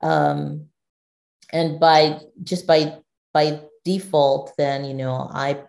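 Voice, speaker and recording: A woman's voice: low-pitched, fairly even intonation, slow pace, a little mellow, reverberant. A middle-aged woman from Nigeria, a guest in an interview, talking thoughtfully with many restarts and fillers. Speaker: guest, digital executive. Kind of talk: conversational interview.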